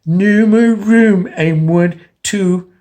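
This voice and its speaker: Man playing a sullen high-voiced teenager. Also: drawn-out words, a little mellow. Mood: sullen, fed up. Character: jaded eighteen-year-old student refusing to listen.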